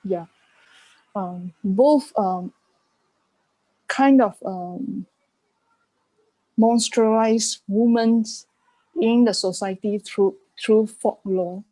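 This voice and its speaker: A woman giving reflective answers as an interview guest, haltingly searching for her words. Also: mid-range voice, dark tone, dry recording, poor audio. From Malaysia. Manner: halting, searching for words in non-native English. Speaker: art curator and panel guest.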